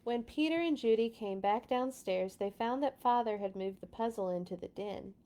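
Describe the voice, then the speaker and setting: A woman's voice: on the high side, moderate pace, mellow. A woman hosting a children's read-along, reading expressively with dramatic emphasis on key words and a casual, chatty touch. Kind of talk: expressive storybook read-aloud with casual asides.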